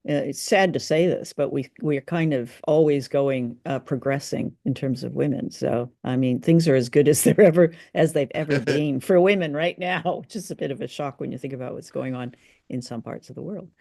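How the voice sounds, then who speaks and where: A woman's voice: extremely low pitch, moderate pace, creaky, warm tone. A woman co-host chats in a casual, thoughtful way, feeling out her phrases with good humour. The sound is very dry, with no room echo. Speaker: co-host of an old-time radio discussion show. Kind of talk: casual conversational discussion.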